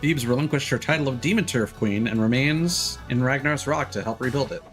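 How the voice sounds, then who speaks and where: A man's voice: medium pitch, a little fast, fairly bright tone. A man streaming a game, reading its text aloud and adding informal, wry commentary. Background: game music.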